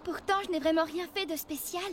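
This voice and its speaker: A woman's voice: very high voice, fairly even intonation, a little fast, background noise. A woman playing a sincere, modest teenage rich girl in a French cartoon dub, speaking earnestly.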